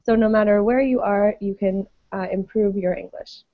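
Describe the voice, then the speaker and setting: A woman who teaches English, leading an online group class in a patient, friendly way with many pauses and ums. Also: medium pitch, fairly even intonation, no room sound. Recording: dull lo-fi web call audio.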